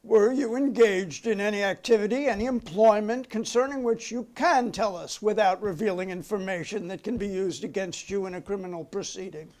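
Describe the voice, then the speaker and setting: A man's voice: sits high, a little fast, hardly any pauses, darkish tone, close to an everyday voice. An American man reading the part of a formal, insistent committee interrogator in a dramatic reading. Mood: pressing. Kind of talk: dramatic reading of a hearing transcript.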